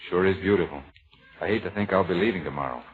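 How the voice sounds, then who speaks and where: A man's voice: low-pitched, quick pace. A man playing an easygoing, gallant young sailor with a casual manner in a 1940s radio romance. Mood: gentle. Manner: casual, friendly, plain-spoken. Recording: thin, narrow-band vintage radio recording.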